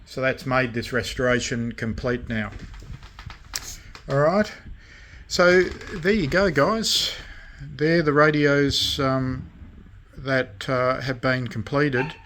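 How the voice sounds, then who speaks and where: A man talks through his hobby work in a casual, informal way. His delivery is slow and halting, broken into short phrases with frequent pauses, at a middling pitch. There is faint noise in the recording. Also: steady tone, a bit bright. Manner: halting, pausing often between short phrases. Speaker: radio restoration hobbyist and vlogger. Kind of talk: casual show-and-tell of restored radios.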